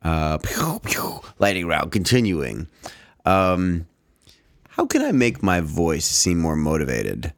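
A man's voice: low-pitched, huge pitch swings, slow pace, no echo. A young man co-hosting a podcast, chatting casually and joking with playful vocal swoops. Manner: joking, playful, sometimes swoops his voice for effect. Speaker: podcast co-host.

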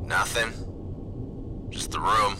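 A man's voice: on the low side, slow, noisy background. An actor playing an agitated, wisecracking man in his thirties in an audio drama, brash and indignant, with old-fashioned slang. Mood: dismissive. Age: 30s.

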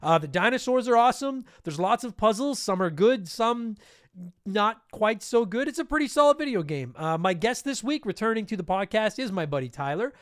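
A high-pitched man rattles through his podcast intro at a quick clip, sounding stuffed up from allergies. His voice is clean and smooth, recorded dry and close on a podcast mic, with a loose, rambling, profane way of talking. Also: animated, no room sound. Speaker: podcast host. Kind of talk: casual podcast intro monologue.

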